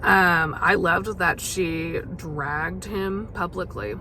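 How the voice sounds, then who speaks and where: A young woman hosting a casual solo podcast, chatty and full of fillers, her voice gravelly as she loses it. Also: lowish voice, takes it slowly, bright, forceful tone, a little hiss or hum, low-quality recording. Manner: chatty, many fillers and restarts. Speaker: podcast host.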